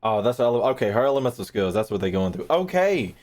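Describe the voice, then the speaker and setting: A man's voice: medium pitch, very animated, fast, darkish tone. A young man giving casual livestream game commentary, slangy and profane, with playful rants. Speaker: game streamer.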